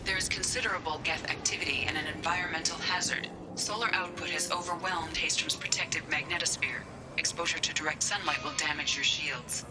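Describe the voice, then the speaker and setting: A woman's voice: low-pitched, steady tone, brisk, over music, lightly acted. A woman playing a composed officer who gives a formal, precise and factual mission briefing in a video game. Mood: businesslike.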